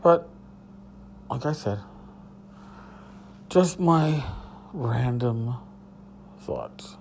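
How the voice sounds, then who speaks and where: A tired man with a hoarse voice, hosting a casual solo podcast from a hotel room and rambling loosely with a bit of swearing. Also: medium pitch, animated, very slow, darkish tone, a little hiss or hum. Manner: rambling, profane, restarts. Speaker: podcast host.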